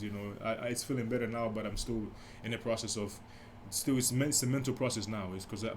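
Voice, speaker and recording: A young man talks in a deep, mellow voice at a medium pitch, rambling through a personal story with frequent restarts and side tangents. He speaks softly, as if unaware how quiet he is. A faint hiss of background noise sits under the recording. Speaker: podcast host. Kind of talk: casual solo podcast storytelling.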